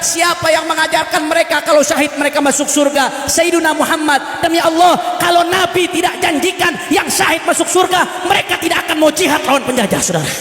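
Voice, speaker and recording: A man delivers a fiery sermon, shouting in a very high, strained register. His voice is gravelly, and he keeps calling out to the crowd. It rings through an echoey hall with a long reverb. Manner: impassioned, shouting, repeatedly addresses the crowd. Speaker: preacher.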